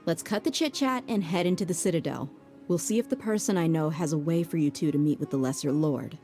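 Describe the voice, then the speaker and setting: A blunt, warm-toned mercenary. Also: female voice, low voice, faint music behind. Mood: brisk. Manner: brisk, blunt, casual. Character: tough adult female mercenary bodyguard, blunt but soft-hearted. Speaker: bodyguard. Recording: game score softly behind.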